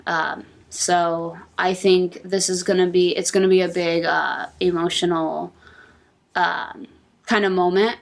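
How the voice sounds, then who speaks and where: A woman's voice: on the low side, fairly even intonation, a little slow, creaky. A woman speaking as a podcast panelist in casual discussion.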